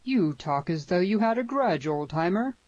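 A woman's voice: on the low side, expressive, moderate pace, a little mellow, no room sound, pitched above the performer's own voice, close to an everyday voice. Woman doing friendly western dad for an audiobook, hearty n folksy, real swoopy voice, dry muffled recording. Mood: concerned. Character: hearty Western ranch father, friendly old pal. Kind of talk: dramatised audiobook dialogue in Western dialect.

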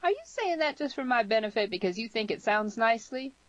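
A woman's voice: on the high side, big pitch swings, moderate pace, no room sound, voice pushed up. A woman voicing a spirited, forthright young woman in an audiobook, with an emphatic, questioning delivery. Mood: playful, curious. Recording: slightly muffled lo-fi audio.

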